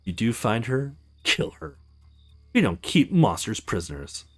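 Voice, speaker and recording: Man acting a grim male hunter, scornful, visual novel game, animated delivery. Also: medium pitch, unhurried, faint music behind. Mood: cold, commanding.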